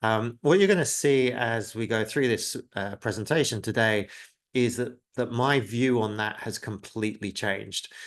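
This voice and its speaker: A man in his forties with a lowish voice who grew up in the UK presents a live webinar. He talks conversationally, with lots of "uh" and "um" and a self-deprecating streak. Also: moderate pace. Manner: conversational, frequent filler words, self-deprecating.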